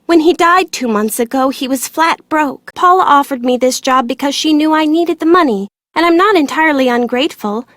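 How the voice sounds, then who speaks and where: A woman's voice: sits high, a little fast, few pauses, fairly bright tone, no room sound, studio quality. A woman playing a bitter, cynical young office worker in a video game, sardonic and matter-of-fact. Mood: resentful, matter-of-fact.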